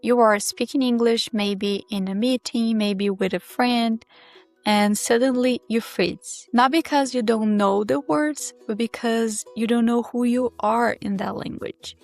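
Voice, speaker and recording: A Brazilian woman, a native Portuguese speaker with a Brazilian accent, hosting her English-learning channel and talking in a calm, measured, candid way. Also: on the high side, dry recording. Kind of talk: reflective teaching monologue to camera. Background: soft background music.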